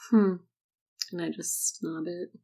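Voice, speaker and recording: A woman chats casually as a player in a tabletop game. Her lowish voice is husky and heavily creaky. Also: fairly even intonation, slow pace, mellow.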